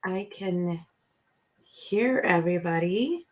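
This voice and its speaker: A woman with a raspy voice today, hosting a casual livestream from home and chatting with viewers by name, with lots of fillers. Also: lowish voice, slow pace. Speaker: livestream host, psychic reader. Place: home, indoors. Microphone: computer mic.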